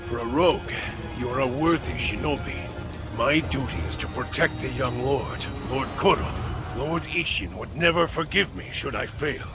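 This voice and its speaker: A man's voice: medium pitch, moderate pace, lightly acted. A man playing a proud, honourable samurai warrior in a video game, guarding his lord. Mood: stern respect. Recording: music over the voice.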